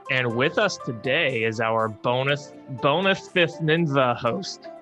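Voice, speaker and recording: A man's voice: medium pitch, slow pace, over music. A man with a slight lisp hosting a podcast, chatting casually about games. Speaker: podcast host. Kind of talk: casual gaming podcast chat.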